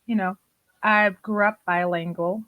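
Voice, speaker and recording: A woman's voice: medium pitch, steady tone, measured pace, highs cut off. Chatty woman raised in Germany. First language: Turkish. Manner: chatty, laughs often. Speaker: podcast guest. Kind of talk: conversational interview.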